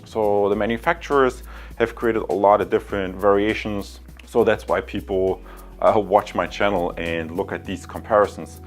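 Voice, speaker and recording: A man's voice: on the low side, fairly even intonation, normal speed, soft background music. A man who hosts a review channel, talking casually and often repeating himself as he goes. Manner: repeats phrases and figures. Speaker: product reviewer and channel host. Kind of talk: casual product review talk.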